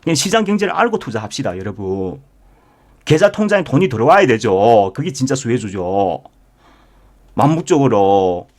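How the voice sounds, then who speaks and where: A man's voice: mid-range voice, big pitch swings, normal speed. A man lecturing live as a stock investment instructor, emphatic, often addressing listeners directly with rhetorical questions. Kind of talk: live market lecture with membership pitch.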